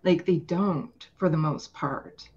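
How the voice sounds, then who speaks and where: Low-voiced woman, dull lo-fi audio. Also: takes it slowly. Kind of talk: casual political discussion.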